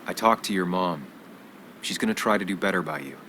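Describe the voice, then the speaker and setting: An actor playing an earnest, caring journalist, troubled but steady, in a video game. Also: male voice, deep voice, brisk, a little hiss or hum. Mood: gentle.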